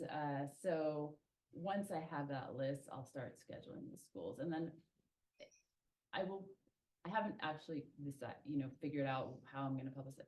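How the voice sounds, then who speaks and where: A woman's voice: deep voice, a little mellow, low-quality recording. A woman answering questions at a community meeting, thinking aloud with many ums and restarts. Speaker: school traffic safety organizer.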